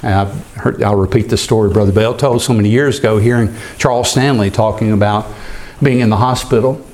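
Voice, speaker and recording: A man's voice: on the low side, fairly even intonation, brisk, darkish tone. A man pastor preaching an earnest expository sermon, sometimes repeating a word as he goes.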